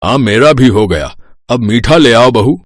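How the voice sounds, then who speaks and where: A man's voice: mid-range voice, animated, unhurried. An actor playing a jovial, food-loving older father-in-law in a family storybook tale, hearty and joking. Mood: hearty, eager. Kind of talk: acted Hindi family storybook dialogue.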